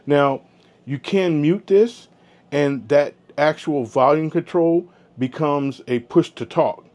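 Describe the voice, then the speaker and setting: A man giving a casual product review, explaining informally in short, halting phrases. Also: a little high, a little mellow, no room sound. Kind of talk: casual gear review vlog.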